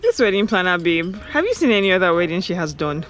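A wry young woman with a Nigerian accent, teasing a friend in an audio drama. Her voice sits a little low and moves around a lot, over faint music. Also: big pitch swings, quick pace. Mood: teasing, casual. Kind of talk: audio drama acting, casual banter.

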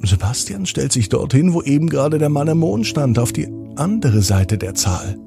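A man narrating a bedtime story for children in a deliberate, expressive manner with drawn-out pauses. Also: on the high side, creaky. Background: music.

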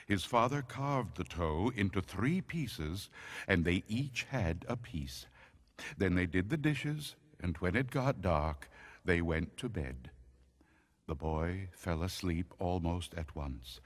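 A man narrating a scary story dramatically, in a deliberate, suspenseful manner. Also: deep voice, lively intonation, dry recording.

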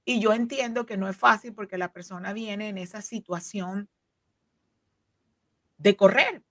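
A woman's voice: mid-range voice, unhurried, fairly bright tone, no echo, clean studio sound. A woman in her forties, a legal counsel, explaining earnestly with many fillers and restarts, recorded on a video call from a home office. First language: Spanish. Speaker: immigration legal counsel, guest. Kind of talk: explanatory interview talk. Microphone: desk microphone on video call.

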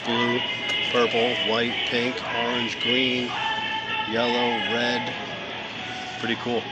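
A man talks steadily at an average pitch, sounding enthusiastic and casual as he browses aisles and reads off labels and prices. He is recorded on a handheld camera inside a large store, with pop music blaring loudly over the speakers behind him. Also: monotone, takes it slowly, low-quality recording. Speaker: vlogger, father. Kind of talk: casual shopping commentary. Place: large indoor fireworks store.

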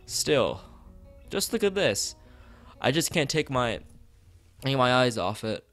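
A man's voice: medium pitch, very animated, slow, fairly bright tone. Man reading as a blunt, doubtful art critic. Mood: patronizing. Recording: music behind the voice.

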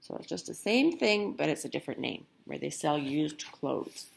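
Woman storyteller, low creaky voice, animated intonation, picture book reading. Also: moderate pace. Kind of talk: expressive children's story reading.

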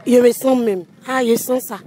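A young woman speaks into an interviewer's handheld mic on an outdoor market street, her voice a little high. She talks loosely and exclaims as she answers. Faint traffic hums in the background. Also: steady tone, moderate pace, mellow. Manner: giggly, exclaiming, loose talk. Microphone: handheld mic held by interviewer.

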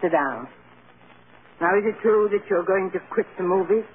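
A woman's voice: medium pitch, normal speed, close to an everyday voice. An actress playing a stern but loving old grandmother, firm and earnest, in 1940s radio drama style. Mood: brisk, concerned. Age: older. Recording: tinny, hissy old radio recording.

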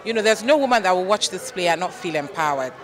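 A woman's voice: on the low side, expressive, quick pace. A middle-aged woman giving an interview as a guest at an outdoor event. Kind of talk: on-camera vox-pop interview. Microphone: handheld reporter microphone.

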